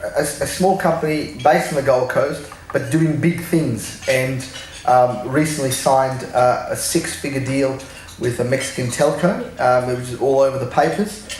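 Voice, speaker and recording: A man's voice: mid-range voice, unhurried, a little mellow, slight background noise. A man introducing a guest speaker to an audience, hesitant and full of fillers. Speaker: event host introducing the speaker. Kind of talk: spoken introduction. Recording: echoey room, faint dish clatter.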